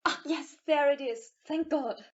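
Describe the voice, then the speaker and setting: A woman's voice: sits high, a little slow. A woman playing a polite, confident cruise passenger in an audio play, speaking in a matter-of-fact way. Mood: polite, pleased. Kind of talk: amateur audio play acting. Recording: muffled recording with background noise.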